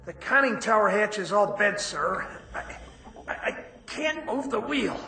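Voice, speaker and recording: A man's voice: high-pitched, lively intonation, unhurried, creaky, bright, forceful tone, echoey room, full character voice. A man playing a plucky, dutiful Navy diver in a radio drama, plain-spoken and respectful as he reports. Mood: tense, focused.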